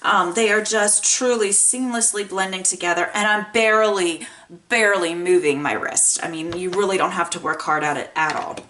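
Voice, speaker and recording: A woman's voice: medium pitch, normal speed, bright, forceful tone. A woman giving a casual craft tutorial in a chatty, enthusiastic way, her voice slightly scratchy and congested from a sinus infection. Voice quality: a little scratchy. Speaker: crafting tutorial host, card maker. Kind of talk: casual how-to craft demonstration.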